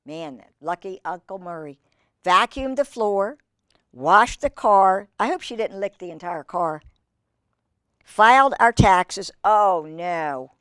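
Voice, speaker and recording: A woman hosting a children's storytime, reading aloud with drawn-out emphasis and chatting in asides to young listeners. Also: medium pitch, animated, a bit bright, clean tone, no room sound, clean studio sound. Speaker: host reading picture books to children.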